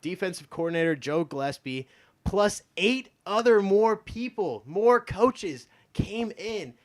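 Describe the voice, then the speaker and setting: A man's voice: high-pitched, lively intonation, unhurried. A man in his twenties with an American accent, chatting casually about sports as a show guest, emphatic, opinionated and loose with swearing. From United States, born in Texas and from Iowa.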